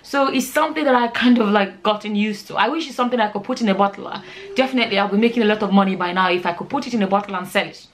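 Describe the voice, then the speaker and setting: A woman's voice: medium pitch, rapid-fire, slight background noise. A woman of forty from Nigeria chatting informally as a vlogger, often repeating words and phrases for emphasis. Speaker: vlogger, channel host. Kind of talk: casual chatty vlog answering viewer questions.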